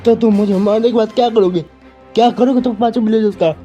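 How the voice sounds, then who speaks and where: A man's voice: high voice, steady tone, fast talker, dark tone, soft background music, close to an everyday voice. A man voicing an earnest, loyal young ninja hero who appeals to his rival, in an amateur anime fan dub. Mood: pleading.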